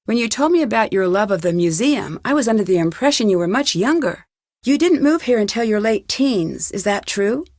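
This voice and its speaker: A woman's voice: mid-range voice, animated, brisk, a little mellow, no room sound, studio quality, lightly acted. An actress playing an inquisitive, polite young woman detective in a video game, speaking in an earnest, questioning way. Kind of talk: video game dialogue acting.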